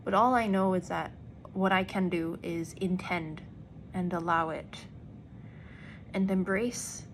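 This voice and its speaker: A woman actor speaking in an earnest, deliberate personal monologue with emphatic pauses. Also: on the low side, fairly even intonation, slight background noise, poor audio. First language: English. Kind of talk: reflective personal vlog monologue.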